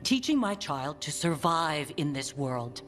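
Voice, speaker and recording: A woman's voice: sits low, very animated, a little slow, fairly bright tone, a little hiss or hum, full character voice. An actress playing a stern, domineering middle-aged mother in a video game, speaking in a stiff, formal and emotionless way. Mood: cold, disapproving. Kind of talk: video game cutscene acting. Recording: game audio with faint music behind.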